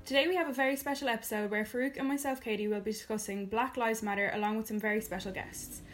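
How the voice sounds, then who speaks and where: Young woman, podcast co-host, quick and high-pitched, welcoming, music playing under her. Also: fairly even intonation. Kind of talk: podcast introductions.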